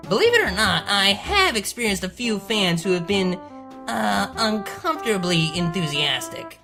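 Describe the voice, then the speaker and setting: A woman voicing a loyal, teasing, excitable female cartoon unicorn with a casual, easygoing way of talking. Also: mid-range voice, moderate pace, music in the background, voice pushed up. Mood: amused, confiding.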